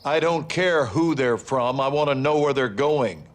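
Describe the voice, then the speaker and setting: An actor playing a stern, blunt older American general in a video game, speaking with terse authority. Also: male voice, medium pitch, a little slow, very noisy, close to an everyday voice. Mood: frustrated. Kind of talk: video game cutscene acting.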